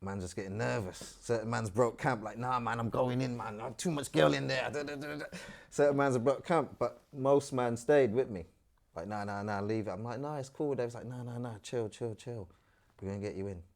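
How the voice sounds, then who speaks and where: A middle-aged man from Trinidad, telling his story as an interview guest in animated, slangy casual talk. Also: medium pitch, a little fast, vocal fry. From Trinidad and Tobago.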